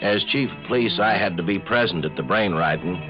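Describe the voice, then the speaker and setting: An actor playing a dry, world-weary small-town police chief who narrates and talks in a deadpan way, in 1950s radio drama style. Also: male voice, low voice, brisk, close to an everyday voice. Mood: matter-of-fact. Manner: laconic, deadpan. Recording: dull lo-fi 1950s radio recording with music.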